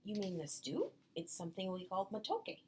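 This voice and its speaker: A kindly, welcoming storybook mother , read by a woman. The pitch sits in the middle and the manner is that of a neighbor inviting children in. Light noise is behind it. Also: big pitch swings, moderate pace, mellow, close to an everyday voice.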